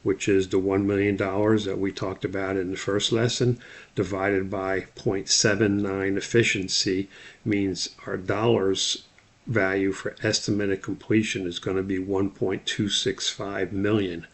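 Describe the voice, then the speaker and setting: A man's voice: low voice, little pitch movement, unhurried. A man giving an instructional lecture, explaining things methodically step by step as he talks through slides. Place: voice-over on a slide screen recording.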